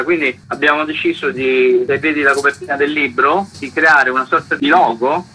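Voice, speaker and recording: A man's voice: mid-range voice, pushed and bright, poor audio. A man, talking at length and with digressions as an author in an informal interview.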